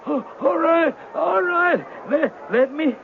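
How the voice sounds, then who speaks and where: An actor in a 1970s radio drama playing a swindler's plain-spoken male sidekick, halting and folksy. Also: extremely high pitch, lively intonation, creaky, heavily acted. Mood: feigned agony. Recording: tinny 1970s radio recording, faint noise.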